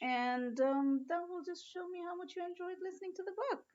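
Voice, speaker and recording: A woman reading a storybook aloud to children with expressive narration. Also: extremely high pitch, fairly quick, lo-fi sound. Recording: faint background noise.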